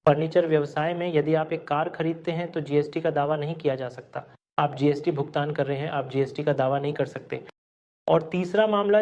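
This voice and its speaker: A man teaching an accounting software tutorial step by step, often checking in with the learner. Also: on the high side, muffled recording. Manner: explanatory, step by step, frequent "ठीक है?" check-ins. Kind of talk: screen-recorded software tutorial.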